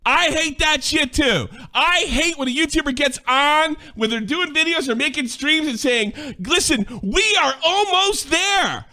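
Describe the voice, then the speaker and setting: A man's voice: very high voice, lively intonation, a little slow, hardly any pauses, bright, forceful tone. A man in his forties, a streamer in a played clip, delivering an angry, profane and indignant rant. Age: 40s.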